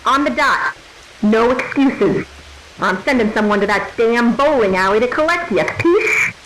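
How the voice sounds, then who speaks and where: Woman acting brisk female dispatcher, noir radio play, higher pitch, no-nonsense, exasperated. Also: animated, background noise, lightly acted. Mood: firm exasperation. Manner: firm, exasperated.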